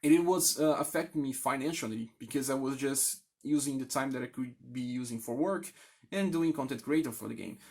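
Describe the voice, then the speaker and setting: Halting Brazilian man, earnest and unscripted. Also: mid-range voice, darkish tone. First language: Brazilian Portuguese. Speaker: gaming video creator. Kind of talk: candid, unscripted appeal to channel viewers.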